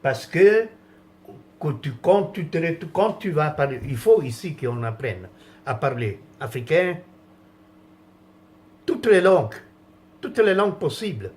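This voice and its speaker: A man's voice: fairly high pitch, big pitch swings, unhurried, long pauses, darkish tone. A man from France in a rambling, indignant rant, with many restarts and trailing phrases.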